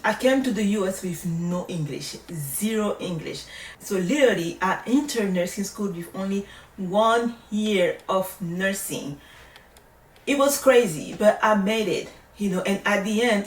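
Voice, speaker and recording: Casting: female vlogger, native French speaker with French accent, mid-pitched voice, casual encouraging advice, roomy distant-mic sound. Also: measured pace. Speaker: vlogger, nursing study coach. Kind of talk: casual advice talk to camera. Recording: roomy echo, distant microphone.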